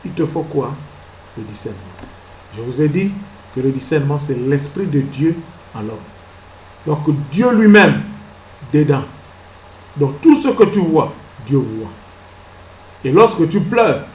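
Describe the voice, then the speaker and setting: A man preaching a sermon as pastor. He fires rhetorical questions, moves between soft asides and loud exclamations, and acts out little scenes. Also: medium pitch, very animated, measured pace, long pauses, reverberant, a little hiss or hum, low-quality recording. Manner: acts out little scenes and laughs at his own jokes.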